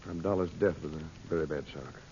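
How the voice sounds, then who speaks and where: An actor playing a middle-aged magician sleuth in an old radio serial, commanding but kindly, with a measured, thoughtful way of speaking. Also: male voice, extremely low pitch, a little mellow. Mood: concerned. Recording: muffled, hissy old radio recording.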